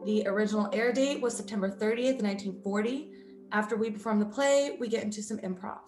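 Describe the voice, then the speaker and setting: Female host for a scripted podcast intro, medium pitch, echoing room, music underneath. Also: fast talker, a little mellow.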